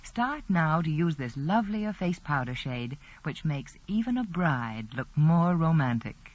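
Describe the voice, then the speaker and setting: A woman's voice: low-pitched, very animated, unhurried, background noise. A woman reading a 1940s radio commercial in a polished, persuasive scripted style. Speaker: advertising spokeswoman. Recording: dull vintage radio broadcast.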